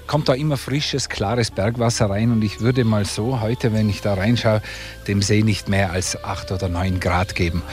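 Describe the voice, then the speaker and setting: A man's voice: fairly low pitch, fairly even intonation, normal speed, darkish tone, faint music behind. A man from Tyrol with a Tyrolean Austrian accent, talking conversationally as a local guide. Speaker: local guide being interviewed. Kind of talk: conversational guided commentary on the region.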